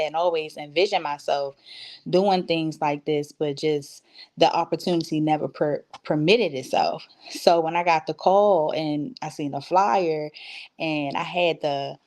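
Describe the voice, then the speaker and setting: A woman giving casual, conversational interview answers as a stage actress, telling stories by acting out what people said. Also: low voice.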